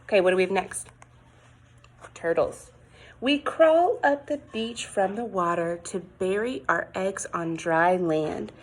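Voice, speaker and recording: A woman with a slightly husky, mid-pitched voice reads a picture book to young children. She sounds like a teacher, expressive and sing-song, coaxing her listeners with questions and exclaiming over the pictures. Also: measured pace.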